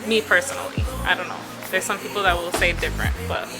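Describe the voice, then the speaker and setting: Give me a young woman photographer talking casually in an interview, with clear music in the background. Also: fairly low pitch, fast talker. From Florida. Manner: relaxed, chatty, many fillers like "like" and "um". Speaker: photographer guest.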